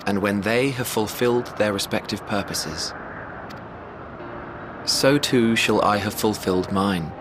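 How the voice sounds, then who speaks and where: An actor playing a genial, self-effacing ancient man in a video game, with eloquent, gently teasing, formal phrasing. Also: on the low side, a little slow, long pauses, noisy background, natural voice. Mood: fond, proud. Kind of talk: video game cutscene acting. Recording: music behind the voice.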